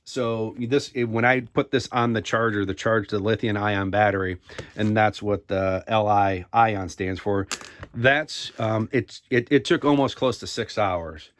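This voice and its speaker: A man's voice: lowish voice, fairly even intonation, measured pace, no room sound. A man giving a casual, rambling, conversational product review to camera, circling back over his points.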